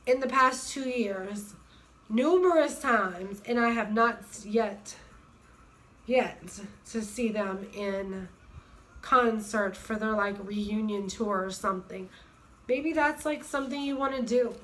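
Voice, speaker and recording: A woman in her thirties with a cold, hoarse and sniffly, chatting casually in a rambling way with many fillers and restarts. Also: fairly high pitch. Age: 30s. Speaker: vlogger. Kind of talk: casual chat.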